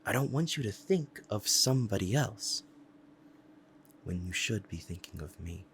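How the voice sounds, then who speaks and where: Proud demon lover, played by a man, deep-voiced, intense and deliberate, ASMR roleplay, faint background noise. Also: animated, fairly bright tone. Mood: insistent, possessive. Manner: intense, deliberate, dramatic pauses. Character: powerful possessive demon bound to a sorcerer, devoted, proud. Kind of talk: ASMR roleplay acting, dramatic monologue.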